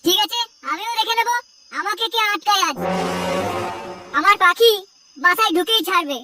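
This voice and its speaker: A rowdy young cartoon troublemaker with an extremely high, childlike pitch. The delivery is brash, crude and bossy. Prominent music runs under the voice. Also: normal speed. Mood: defiant. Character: rowdy foul-mouthed young cartoon prankster gang. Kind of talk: Bengali cartoon comedy dubbing.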